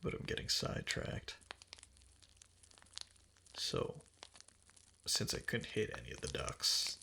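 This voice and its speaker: A man playing a doting, obsessively devoted fox-spirit husband in an intimate roleplay ASMR, speaking softly and tenderly in breathy, halting endearments. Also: rumbling low, very animated, slight background noise, full character voice. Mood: fond, reminiscing. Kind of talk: intimate roleplay ASMR, soft and partly whispered.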